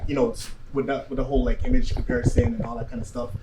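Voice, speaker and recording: A man in his twenties talks through his opinion on a panel, off the cuff and full of small check-ins. His pitch moves a lot and he talks fast. He is picked up from a distance with faint noise behind him. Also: sing-song. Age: 20s. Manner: hedging, often checking with "right?". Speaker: talk show panel guest. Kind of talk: unscripted opinion discussion.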